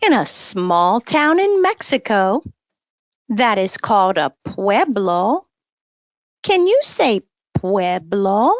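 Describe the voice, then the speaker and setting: Very slow, lively woman reading to children. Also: a little high, no echo. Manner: deliberate, instructive, addressing young children. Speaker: children's storybook reader. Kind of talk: bilingual read-aloud story with vocabulary prompts.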